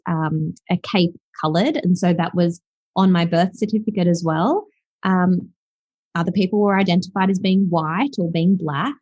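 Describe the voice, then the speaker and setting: A woman's voice: fairly low pitch, darkish tone, dry recording. Reflective Australian woman, dull dry sound. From South Africa. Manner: reflective, with frequent fillers. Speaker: guest. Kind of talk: podcast interview.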